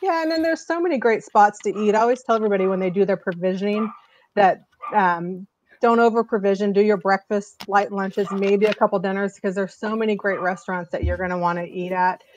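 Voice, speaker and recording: A woman's voice: mid-range voice, fairly quick, a little mellow, slight background noise. A woman in her thirties, a charter agent, chatting casually and upbeat on a live video call over earbuds from a small home office. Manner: chatty, upbeat, many fillers. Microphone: wired earbuds on a video call. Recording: slightly muffled video call audio.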